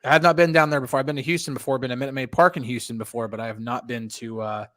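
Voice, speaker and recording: A man's voice: mid-range voice, fairly even intonation, rapid-fire, fairly bright tone, no echo, clean studio sound. A man from northern New Jersey co-hosting a sports podcast, talking casually and rambling with many fillers.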